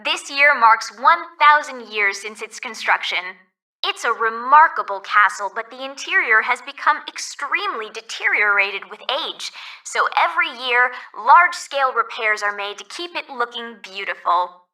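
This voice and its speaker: A woman's voice: fairly high pitch, lively intonation, normal speed, pushed and bright. A woman playing a polite, cheerful resort ferry guide in a video game, reading scripted tour announcements, recorded slightly distant. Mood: cheerful, informative.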